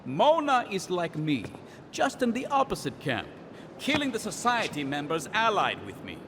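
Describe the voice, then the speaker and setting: An actor playing a suave Russian gangster villain in a video game, smug, theatrical and mock-courteous. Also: male voice, wildly swooping pitch, normal speed. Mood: smug, taunting. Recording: long hall reverb, faint rumbling noise.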